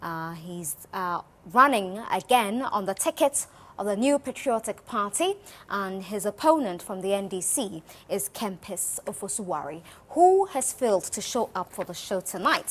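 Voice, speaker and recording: Direct woman TV host. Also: medium pitch. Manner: direct, probing questions. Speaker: television host. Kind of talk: political TV interview. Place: television studio.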